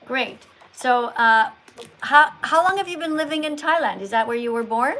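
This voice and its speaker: A woman's voice: sits high, animated, moderate pace, bright, forceful tone. A woman hosting a live call-in stream, talking in a didactic way with short emphatic statements and probing questions. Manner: probing, presses callers with follow-up questions. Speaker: livestream host. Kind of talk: live call-in chat about veganism.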